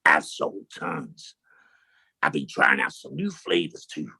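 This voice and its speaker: Man playing a creaky, husky, animated tradesman. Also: rumbling low, slow pace, dry recording, exaggerated voice. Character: animated tradesman and jam seller. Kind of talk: comedy audio drama.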